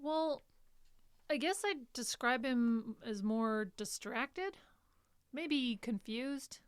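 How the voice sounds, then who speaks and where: An actress playing a weary, reluctant young care worker in an audio drama, with hesitant, understated replies. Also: female voice, a little high, expressive, drawn-out words, smooth, no echo, clean studio sound. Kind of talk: live audio drama acting, naturalistic.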